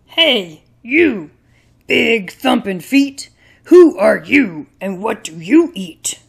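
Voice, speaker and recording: Puppet show role: brash braggart bullfrog played by a woman, very creaky, drawn-out delivery. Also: a little high, very animated, full character voice. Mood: boastful, brash. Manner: slow, drawling, bragging. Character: boastful brash big wide-mouthed male bullfrog.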